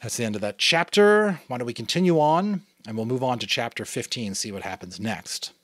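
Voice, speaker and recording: A man from New England reading a novel aloud as a father, in a dramatic, expressive narration style. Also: medium pitch, a little fast, no echo, studio quality. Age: adult, a father. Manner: expressive, varied delivery. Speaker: audiobook reader.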